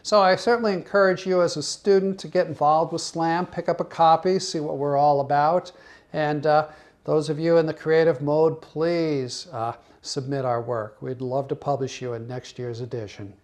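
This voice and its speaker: A man's voice: fairly high pitch, steady tone, normal speed, darkish tone. An American man giving an informal promotional talk as a college magazine adviser, with wry, joking asides.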